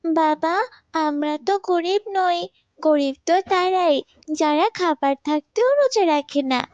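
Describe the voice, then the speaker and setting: A woman voicing a pious, gentle poor little girl in a moral cartoon, speaking earnestly and preachily. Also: extremely high pitch, expressive, slow pace, dry recording, dull audio, exaggerated voice. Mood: earnest, devout.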